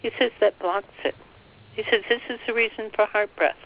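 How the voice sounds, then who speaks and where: A woman leading a slow guided meditation, softly passing on a spiritual guide's words phrase by phrase. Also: medium pitch. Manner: soft, relaying every phrase with "he says". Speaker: channeler relaying a spiritual guide. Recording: dull, dry lo-fi recording.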